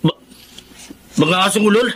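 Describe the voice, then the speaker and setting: A man's voice: sits high, unhurried, fairly bright tone, slightly rough, heavily acted. A male actor playing a drunk, delirious young man who screams in panicked terror in a radio drama. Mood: frantic terror. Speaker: son.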